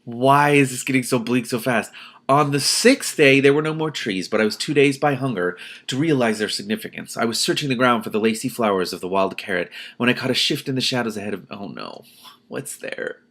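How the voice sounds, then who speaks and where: A man's voice: medium pitch, fairly quick, fairly bright tone. A man reading a novel aloud expressively, breaking off to comment and exclaim in his own words. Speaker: reader and commentator, host of a read-aloud series.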